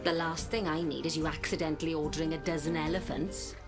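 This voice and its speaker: A woman playing a cheerful, polished zoo mentor in a game tutorial, with playful, encouraging delivery and theatrical pauses. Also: low-pitched, fairly even intonation, natural voice. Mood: teasing, instructive. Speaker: tutorial guide. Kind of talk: scripted game tutorial narration. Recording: game music behind the voice.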